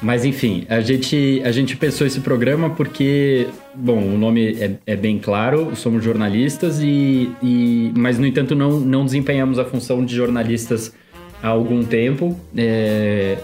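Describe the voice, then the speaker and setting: A man's voice: mid-range voice, steady tone, a little slow, dark tone, faint music behind. A Brazilian man with a São Paulo accent, co-hosting a casual podcast with a hesitant, self-deprecating manner and many restarts. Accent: São Paulo Portuguese. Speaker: podcast co-host. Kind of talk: casual chat.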